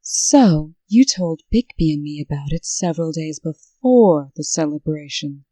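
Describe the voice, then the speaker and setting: A woman playing a stern, brisk official in a comic dub, speaking with dry, scolding authority. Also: low voice, lively intonation, dark tone, dry recording, low-quality recording. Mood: stern, businesslike. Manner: crisp, authoritative, dryly scolding. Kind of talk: scripted comic-dub acting.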